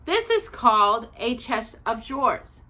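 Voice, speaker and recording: A woman's voice: fairly high pitch, sing-song, a little slow, pushed and bright, voice pushed up, heavily acted. A woman voicing a friendly, eager young girl in a storybook, chatty and reassuring. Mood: helpful.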